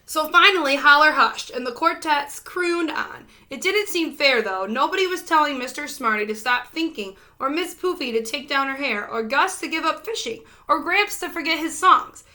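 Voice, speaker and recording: Female storybook reader, high-pitched and very bright, expressive narration for young children. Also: moderate pace, hardly any pauses.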